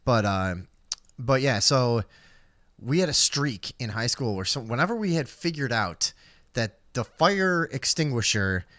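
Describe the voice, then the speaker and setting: A man's voice: mid-range voice, lively intonation, unhurried, fairly bright tone, dry recording, studio quality. A man co-hosting a talk show, telling comedic stories casually with plenty of swearing and slang. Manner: profane, slangy.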